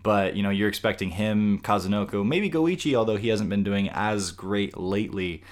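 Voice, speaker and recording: A man's voice: on the low side, normal speed. A man co-hosting a gaming podcast, chatting casually and thinking aloud with frequent restarts. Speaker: podcast co-host.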